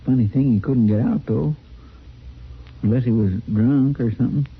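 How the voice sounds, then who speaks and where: Folksy cowboy helper, kinda simple n loyal, mid pitched, old muffled western radio show. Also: male voice, slightly rough. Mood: troubled musing. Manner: folksy, deferential, drawn-out musing. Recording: dull, faintly noisy old radio recording.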